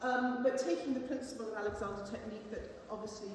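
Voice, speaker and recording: A woman giving a conference presentation as a musician, explaining earnestly and a little haltingly. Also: a little high, steady tone. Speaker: cellist and conservatoire teacher presenting. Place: large indoor conference hall. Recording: echoey hall from far off, faint noise.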